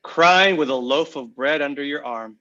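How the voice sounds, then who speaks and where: A man's voice: fairly high pitch, normal speed, sharp tone, highs cut off. A man playing a teasing, unbothered home contractor in a comedy table read.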